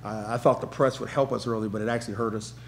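Fast-talking middle-aged man, emphatic coach. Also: fairly low pitch, fairly even intonation, vocal fry, slight background noise. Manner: rapid, repetitive restarts, emphatic. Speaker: head basketball coach. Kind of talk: post-game press conference answers. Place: press room with backdrop. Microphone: table mic.

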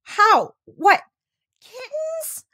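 A woman doing a shrill, stern housekeeper. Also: animated, moderate pace, vocal fry, no echo, clean studio sound. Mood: shrieking. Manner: shrill, scolding. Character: stern, easily angered female housekeeper.

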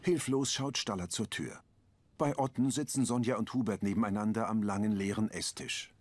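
A man reading the audio description of a crime series in a calm, matter-of-fact way. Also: lowish voice, normal speed, heavy background noise.

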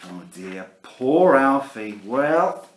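Guy reading a kids story, super animated and slow, playful, bit echoey. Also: mid-range voice, a little hiss or hum. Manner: theatrical, playful, addresses children directly. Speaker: primary school teacher reading aloud. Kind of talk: animated children's picture-book storytelling. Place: living room at home. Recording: roomy home camera recording.

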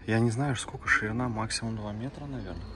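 A man's voice: on the low side, fast. A man from Kazakhstan casually narrating a travel vlog, chatty and talking to his viewers as friends while walking streets and driving. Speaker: travel vlogger. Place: outdoors in narrow town streets and in a car. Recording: outdoor noise in background.

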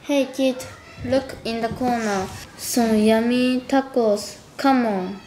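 A young woman voicing a sly, hungry, coaxing tiger puppet in an amateur scripted puppet show, with simple, deliberate delivery. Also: on the high side, steady tone. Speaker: student puppeteer. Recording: roomy, echoey, noisy amateur recording. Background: noisy room ambience.

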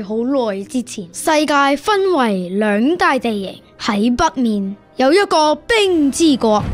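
Casting: woman as unhurried, high-pitched fairy-tale narrator, Hong Kong Cantonese, children's radio drama. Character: measured female storybook narrator of an epic fairy tale. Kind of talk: scripted children's story narration.

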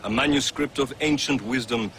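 A man's voice: medium pitch, normal speed, a bit bright, slight background noise, lightly acted. An actor playing a grave, formal male assassin mentor in a video game. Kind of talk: video game cutscene acting.

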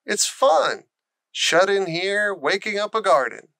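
A man's voice: high voice, expressive, slow pace, vocal fry, sharp tone, no room sound, clean studio sound, pitched above the performer's own voice, heavily acted. A man voicing a friendly, eager country boy who loves animals in a storybook reading. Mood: enthusiastic.

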